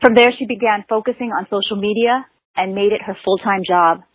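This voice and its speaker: A woman's voice: mid-range voice, brisk, no room sound. Woman, podcast host, playful, reads an intro then chats, tinny phone-like audio, dry sound. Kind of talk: read introduction, then casual questions.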